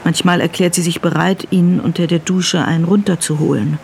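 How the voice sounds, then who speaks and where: Low-voiced female crime-thriller narrator. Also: steady tone, brisk, darkish tone. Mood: matter-of-fact. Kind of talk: scripted radio drama narration. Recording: clear ambient background noise.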